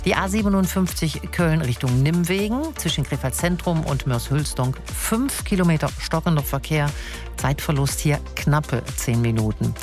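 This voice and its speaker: Female radio host, low-pitched, chatty and light-hearted, music bed clearly underneath. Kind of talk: casual radio presenting. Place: radio studio. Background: music playing under the voice.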